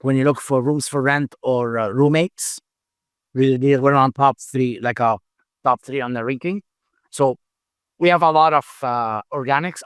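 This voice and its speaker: Mężczyzna w średnim wieku, angielski nie jest jego pierwszym językiem, odpowiada z wahaniem, suche nagranie. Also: male voice, medium pitch, a bit bright, clean tone, no room sound, studio quality. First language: not English. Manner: halting, repeats words, many uh fillers. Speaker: founder and CEO, podcast guest. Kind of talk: casual interview answers.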